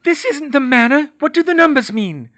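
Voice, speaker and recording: A man voicing a cruel, manic witch woman who hisses and shrieks in a frantic, shrill way. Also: lively intonation, normal speed, fairly bright tone, no room sound, lo-fi sound.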